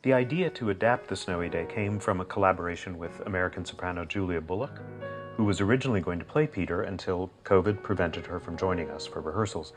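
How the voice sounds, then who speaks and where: Deep-voiced man, measured tone. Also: soft background music. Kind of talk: documentary interview.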